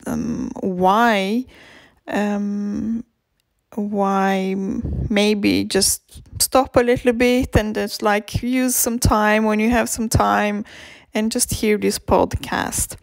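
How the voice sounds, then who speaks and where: A woman from Romania whose first language is Romanian, hosting a language podcast and talking slowly and hesitantly, with many ums and restarts. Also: medium pitch, fairly even intonation, gravelly, no echo, clean studio sound. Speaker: podcast host and language teacher. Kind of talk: slow, informal podcast talk.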